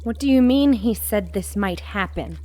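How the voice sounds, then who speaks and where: A woman's voice: mid-range voice, animated, normal speed, dark tone, close to an everyday voice. An actress playing a proud, anguished reanimated mother who is a flesh-eating monster, in an audio drama. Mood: shaken.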